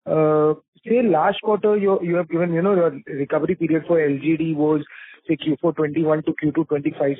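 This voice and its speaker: A man's voice: fairly high pitch, no echo. A man putting unscripted questions as a financial analyst on a conference call. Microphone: telephone conference call. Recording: dry, narrow phone line.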